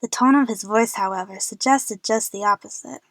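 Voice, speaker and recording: A woman's voice: medium pitch, normal speed, no room sound. A woman narrating a first-person story as the lead of an audio drama.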